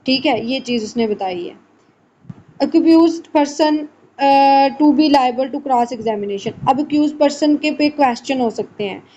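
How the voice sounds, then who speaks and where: Woman, high voice, explanatory lecture style, muffled lo-fi recording, faint background noise. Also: mellow. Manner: switches between slow English reading and conversational Urdu explanation. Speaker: law lecturer. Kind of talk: explanatory lesson, reading out statutes and glossing them.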